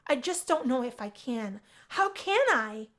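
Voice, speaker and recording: A shy and bookish young college student with a fairly high voice, acted out by a woman. She is the earnest heroine of a visual novel. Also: very animated, a little slow, a bit bright. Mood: distressed.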